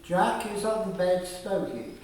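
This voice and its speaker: Slow, high-voiced male narrator, very echoey. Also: a little mellow. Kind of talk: scripted narration of a radio play. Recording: very echoey, distant, faint noise.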